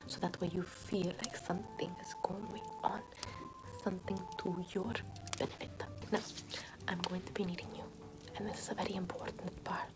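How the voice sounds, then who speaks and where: A woman playing a callous, morbid medieval nun in ASMR roleplay, murmuring in a soothing, repetitive deadpan. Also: fairly low pitch, natural voice, low-quality recording. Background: music.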